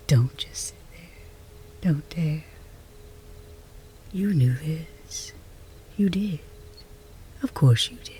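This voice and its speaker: A woman playing a flustered, lovestruck chess rival in a romance roleplay ASMR, whispery and intimate, with halting, teasing delivery. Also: low voice, mellow, slight background noise. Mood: confessing, flustered. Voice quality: breathy, whispery.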